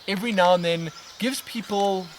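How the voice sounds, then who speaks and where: A man, casually answering viewer questions in a relaxed, expressive, rambling way as a vlog host. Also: high-pitched, moderate pace. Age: 20s. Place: outdoors on a riverbank in woodland. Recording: outdoor natural background noise, birds. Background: outdoor ambience with birds.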